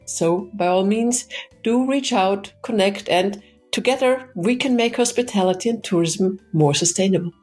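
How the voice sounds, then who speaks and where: A woman's voice: medium pitch, moderate pace, darkish tone, faint music behind. Upbeat woman hosting a podcast. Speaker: podcast host. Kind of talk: podcast interview.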